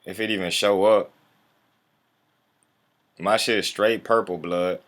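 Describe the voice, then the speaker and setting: A man's voice: low-pitched, fairly even intonation, measured pace, fairly bright tone. A man hosting a casual livestream, talking in a laid-back, slangy, profane way and chuckling often. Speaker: livestream host.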